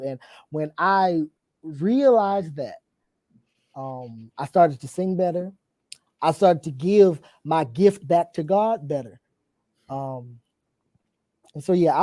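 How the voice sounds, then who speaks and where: A young man who leads worship singing, talking earnestly on a panel, with a rough voice and frequent ums. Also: a little high, darkish tone, dry recording. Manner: earnest, reflective, many ums. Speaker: church worship leader and singer. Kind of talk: casual panel chat.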